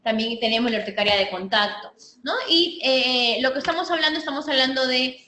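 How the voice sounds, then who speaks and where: A woman's voice: high-pitched, fairly quick, sharp tone. A woman physician with a Peruvian Spanish accent giving a medical teaching talk, explaining step by step with frequent restarts and fillers. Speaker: physician lecturer. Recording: echoey room with faint noise.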